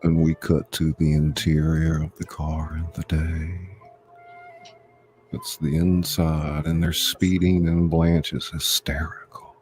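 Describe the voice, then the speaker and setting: A man reading screenplay stage directions aloud as a deliberate scripted narrator. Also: rumbling low, flat delivery, a little mellow, faint music behind.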